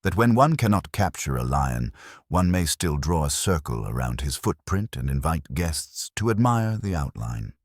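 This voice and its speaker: A man's voice: extremely low pitch, very animated, normal speed, no echo, studio quality, exaggerated voice. A man voicing a smug, theatrical villain in an audio mystery, pompous and precise in a pleasantly menacing way.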